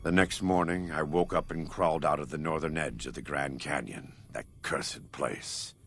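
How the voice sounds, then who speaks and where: An actor playing a devout, scarred former warlord in a video game, speaking in a solemn, measured, preacher-like way with a gravelly voice. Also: male voice, fairly even intonation, a bit bright, exaggerated voice. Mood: solemn, fervent. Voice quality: very deep, gravelly. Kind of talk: video game character dialogue.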